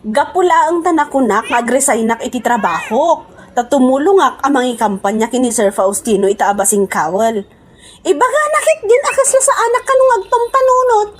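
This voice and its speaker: Brash ilocano lady in a radio comedy, super high voice, swoops all over, huffy n scornful. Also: big pitch swings, moderate pace, a little hiss or hum. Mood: indignant. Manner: melodramatic, huffy, snapping. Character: brash, scornful woman quitting her job for politics.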